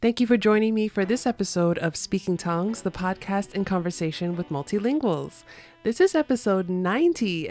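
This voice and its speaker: A woman hosts an interview podcast, sounding upbeat and welcoming. Her voice sits a little low for a woman. Soft music plays faintly behind her. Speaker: podcast host.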